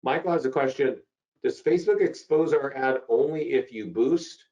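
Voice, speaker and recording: A man with a hoarse voice from a cold, hosting a live software webinar and chatting through questions from viewers. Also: medium pitch, normal speed. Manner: chatty, repeats himself, answers chat questions. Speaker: webinar host. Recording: dull lo-fi call audio.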